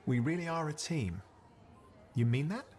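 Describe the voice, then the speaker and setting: A man's voice: fairly high pitch, animated, moderate pace, a little mellow, soft background music, natural voice. An actor playing a cocky young male sidekick in a video game, boastful and wry with a streak of wounded pride. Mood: wistful, teasing. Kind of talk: video game cutscene acting.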